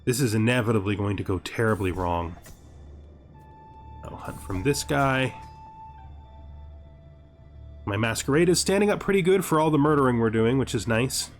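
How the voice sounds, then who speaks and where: A man's voice: mid-range voice, animated, fairly quick. A man giving casual video game commentary, thinking aloud with rhetorical questions and exasperated asides, sometimes reading game text aloud. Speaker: gaming series host. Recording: music behind the voice. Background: background music.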